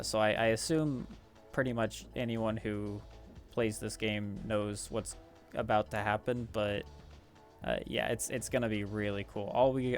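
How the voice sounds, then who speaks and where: Low-pitched man giving casual game commentary. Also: creaky. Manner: hesitant, rambling, talking through strategy. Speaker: gaming video host. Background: background music.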